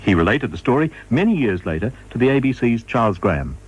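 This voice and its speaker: A man reading scripted radio documentary narration in a measured, formal manner. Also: medium pitch, big pitch swings, darkish tone, slightly rough, muffled recording.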